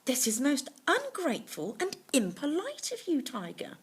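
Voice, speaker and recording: A woman's voice: sits high, wildly swooping pitch, normal speed, voice pushed up. A woman voicing a kindly male monk in a children's story, polite, earnest and protesting. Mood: indignant, afraid.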